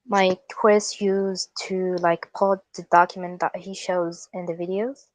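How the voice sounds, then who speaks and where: A woman in a casual group video call, asking earnest questions in a slightly halting way. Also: lowish voice, little pitch movement, dry recording, rough recording. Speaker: community member asking for advice.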